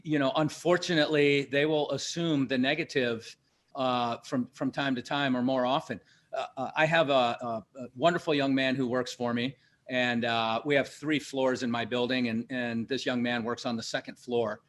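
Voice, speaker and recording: A man hosting an interview and teaching leadership, talking conversationally in a halting way, with restarts while he tells personal stories. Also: medium pitch, fairly even intonation, fairly bright tone.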